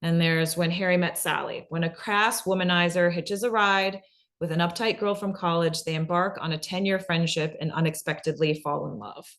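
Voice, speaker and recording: A woman's voice: lowish voice, steady tone, normal speed, a bit bright. A woman presenting in an informal workshop style, conversational and often checking in with the listener as she explains.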